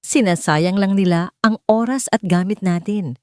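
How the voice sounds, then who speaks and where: A woman's voice: mid-range voice, normal speed, darkish tone, no room sound, studio quality, natural voice. A woman voicing a cold, contemptuous female hospital supervisor who barks orders with scorn. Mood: scornful. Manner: scornful, commanding. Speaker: nurse supervisor.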